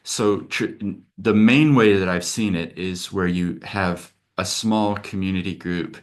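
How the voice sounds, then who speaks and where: A man's voice: low-pitched, a little slow. A middle-aged man from America, a lawyer hosting a webinar over a video call, talking in an earnest, open, conversational way.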